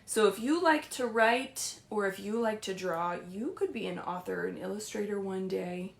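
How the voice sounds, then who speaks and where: A woman with a mid-pitched voice leads a story time for young children in a roomy, echoey space. She sounds gentle and reassuring, putting things simply, as a children's librarian does on a livestream. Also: moderate pace, vocal fry.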